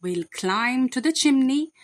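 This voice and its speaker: A woman's voice: sits high, lively intonation, unhurried, pitched above the performer's own voice. A woman voicing a big, crafty male burglar who turns cowardly, in a theatrical storybook read-aloud. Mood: scheming.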